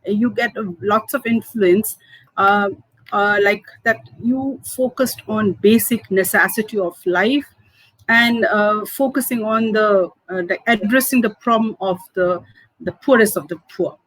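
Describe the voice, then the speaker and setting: A woman in her fifties from Manipur with a Manipuri accent, English her third language, who answers interview questions slowly with many fillers and small laughs. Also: mid-range voice, fairly bright tone, no room sound, poor audio. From Manipur, India. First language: Hindi and Manipuri. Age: 50s. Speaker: food entrepreneur. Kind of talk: interview answers, personal story.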